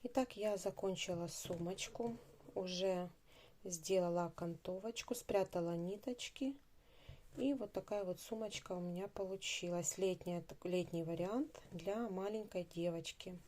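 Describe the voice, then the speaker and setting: Slow, mellow-voiced woman teaching crochet. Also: fairly low pitch, a little hiss or hum. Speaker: crochet tutorial instructor. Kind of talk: step-by-step craft tutorial narration.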